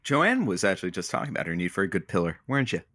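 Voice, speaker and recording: A dry, teasing radio producer. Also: male voice, low voice, sing-song, very fast, bright, forceful tone, dry recording, full character voice. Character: teasing, wry male radio producer, loyal sidekick. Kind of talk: scripted audio comedy drama.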